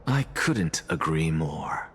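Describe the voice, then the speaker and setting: A man's voice: a bass voice, expressive, slow, a bit bright, husky, exaggerated voice. A man voicing an arrogant, brilliant scholar in a video game, lecturing in a theatrical, articulate and scornful way. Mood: dry disdain. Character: arrogant, brilliant male scholar, disdainful and foolhardy. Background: background music.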